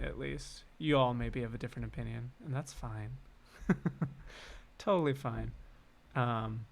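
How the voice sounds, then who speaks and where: A man with a mid-pitched voice and a noticeable vocal fry talks casually as he demonstrates composing live. He is informal and chatty, working through ideas aloud, with instrumental music clearly audible behind him. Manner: informal and chatty, hums snatches of melody. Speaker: composer hosting a livestream on writing game music.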